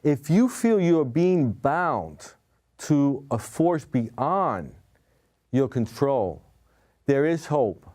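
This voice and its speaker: Guy hosting a little cable talk show, mid pitch, easygoing questions. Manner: slow dramatic delivery in the intro, then relaxed questioning. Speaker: host, hypnotherapist.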